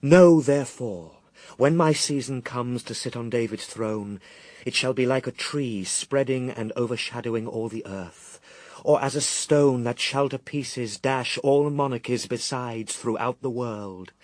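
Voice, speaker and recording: An actor playing Jesus as a stern, steadfast Son of God in a verse radio drama, solemn, declamatory and disdainful. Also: male voice, medium pitch, measured pace, darkish tone, no room sound, natural voice. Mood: scornful, resolute.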